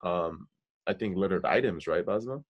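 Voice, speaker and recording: A man's voice: low voice, expressive, moderate pace, muffled recording. A man hosting a podcast, asking questions in a casual, hesitant way full of fillers. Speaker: podcast host.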